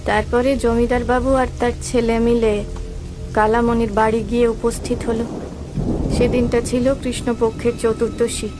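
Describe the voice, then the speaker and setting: A woman plays a storyteller in a cartoon folktale, recounting dark events dramatically. Her voice is fairly high, set over clear background music. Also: lightly acted. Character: female storyteller recounting a dark village tale.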